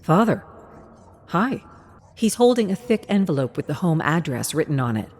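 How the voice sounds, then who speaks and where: Female narrator, notably low voice, for scripted story narration. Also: big pitch swings, brisk, dark tone.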